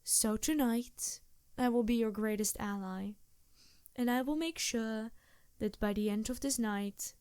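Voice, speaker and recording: Woman as scheming succubus ally for intimate ASMR roleplay: soft-spoken, seductive, unhurried, very close and dry sound. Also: a little high, smooth, no room sound, close to an everyday voice. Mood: resolved, solemn. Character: scheming female succubus demon, seductive, reluctant but loyal ally.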